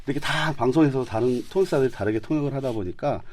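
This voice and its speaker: A man's voice: mid-range voice, quick pace, darkish tone. A man guest on a radio interview, a sign language interpreter explaining things through examples. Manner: explains with examples, chuckles at himself.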